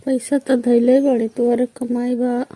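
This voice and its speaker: A woman's voice: a little high, little pitch movement, measured pace, dark tone. A woman playing a discontented village wife who plots with her lover, chatting matter-of-factly on the phone with a Bhojpuri accent. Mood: complaining, calm. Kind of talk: casual phone conversation in rural dialect.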